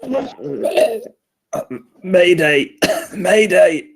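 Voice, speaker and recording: A man playing an anxious, hapless spaceman with a husky voice and nervous manner in a comedy table read. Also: high-pitched, lively intonation, drawn-out words, heavily acted, low-quality recording. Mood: panicked. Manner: nervous, self-coaxing.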